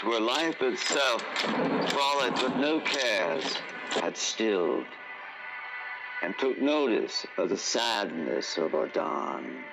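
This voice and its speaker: A man acting as a grave, conspiratorial storyteller in a dark fantasy radio drama, speaking dramatically with deliberate pauses. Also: fairly low pitch, creaky, heavily acted, rough recording. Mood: hushed, sorrowful. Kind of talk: theatrical radio drama narration. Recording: music under a remastered radio production. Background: music.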